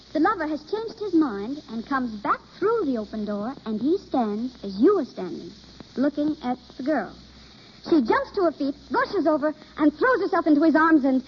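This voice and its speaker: A woman's voice: high voice, a little hiss or hum. An actress playing an eager, lovestruck young woman who studies acting, with coy, theatrical delivery in vintage radio drama style. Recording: dull old radio broadcast recording.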